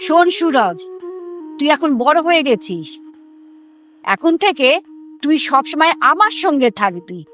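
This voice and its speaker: High-voiced imperious clan matriarch. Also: female voice, sing-song, a little slow, pauses often, a bit bright, muffled recording, soft background music, exaggerated voice. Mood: commanding, resolute. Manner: commanding, imperious. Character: domineering, vain matriarch leader of a prehistoric clan. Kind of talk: Bengali audio drama acting.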